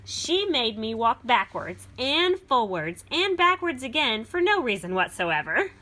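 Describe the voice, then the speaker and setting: A woman's voice: fairly high pitch, sing-song, a little slow, sharp tone, exaggerated voice. A woman reading as an indignant, put-upon little male woodland beast, telling his storybook tale with dramatic grievance. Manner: dramatic, aggrieved storybook narration.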